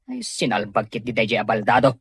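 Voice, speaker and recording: An actor playing a scheming, greedy cousin in an Ilocano audio drama, with a husky voice. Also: male voice, lowish voice, very animated, fairly quick, a bit bright, no room sound, clean studio sound, full character voice. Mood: sly, scheming. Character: scheming, greedy male cousin of a wealthy invalid. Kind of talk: Ilocano radio drama acting.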